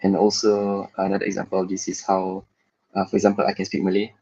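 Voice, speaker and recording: Low, flat-voiced Singaporean man presenting. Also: vocal fry, husky, muffled recording. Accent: Singaporean English. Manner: measured, with frequent filler pauses. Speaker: guest presenter. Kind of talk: slide presentation to a class.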